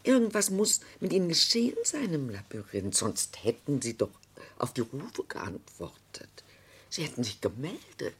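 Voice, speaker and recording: A woman's voice: extremely low pitch, big pitch swings, moderate pace, slightly rough, heavily acted. An actress playing an anxious, conscience-stricken woman grieving her brother in a radio play, speaking hesitantly. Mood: troubled. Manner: hesitant, troubled, theatrical.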